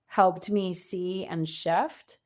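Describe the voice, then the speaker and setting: A woman's voice: lowish voice, fairly even intonation, very slow, darkish tone. A woman answering as a guest coach in a podcast interview, speaking informally and emphatically, often acting out quoted thoughts.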